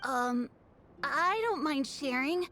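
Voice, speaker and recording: A woman's voice: high-pitched, slow, fairly bright tone, faint music behind. A woman voicing a sweet young child in a video game. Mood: shy, friendly.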